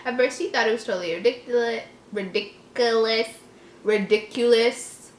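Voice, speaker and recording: Casting: female story reader, slightly high voice, casual, giggly, interjects joking commentary, roomy echo. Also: moderate pace. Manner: giggly, reactive, often breaking off to comment.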